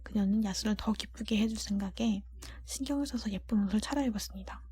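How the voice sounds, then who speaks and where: A woman reading a storybook aloud as ASMR narration in a breathy whisper. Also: mid-range voice, fast, a little mellow, dry recording.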